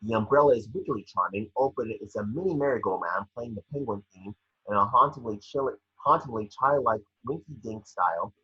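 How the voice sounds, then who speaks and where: Male table-read narrator, bright but very husky, scripted stage directions, slightly muffled home recording. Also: lowish voice, a little fast. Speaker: scene description reader.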